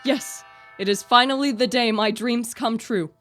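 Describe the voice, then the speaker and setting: A woman's voice: a little high, moderate pace, fairly bright tone, dry recording, clean studio sound, soft background music, natural voice. A young woman playing a tormented, guilt-ridden young man in a radio play, with earnest, formal literary diction. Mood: tender, uneasy. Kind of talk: student radio play acting.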